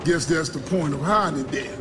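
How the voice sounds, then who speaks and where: A man's voice: fairly high pitch, normal speed, background noise, lightly acted. An actor playing an easygoing, plain-spoken young soldier who tells his story in a folksy, wry way in a video game. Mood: wry narrating. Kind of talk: video game narration and dialogue.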